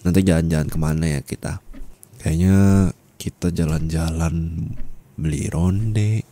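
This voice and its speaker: A man's voice: deep voice, steady tone, unhurried, slight background noise. A young adult man playing a husband talking intimately and colloquially to his wife in an audio roleplay, close on the microphone. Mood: soft, affectionate. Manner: intimate, colloquial, turning from soft to scolding. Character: young husband, affectionate then angrily scolding his wife. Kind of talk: Indonesian ASMR roleplay acting, addressed to the listener. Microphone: close studio microphone.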